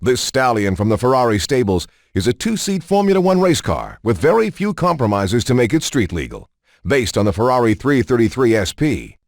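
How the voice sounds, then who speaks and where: A man reading scripted promotional narration in a smooth, measured way. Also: mid-range voice, no echo, studio quality.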